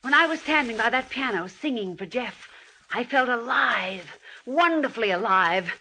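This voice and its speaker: An actress playing a headstrong, passionate young wife and jazz singer, earnest and melodramatic in 1940s radio style. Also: female voice, fairly high pitch, expressive, moderate pace, sharp tone, slightly rough, full character voice, rough recording. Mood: defiant, passionate.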